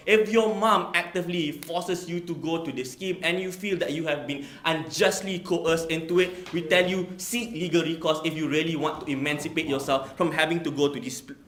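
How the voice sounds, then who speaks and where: Young man, from Malaysia, competitive debater, emphatic, slightly high voice, echoey hall. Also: fairly bright tone. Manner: emphatic, repeats "we tell you", many "you know" fillers. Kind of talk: formal debate speech.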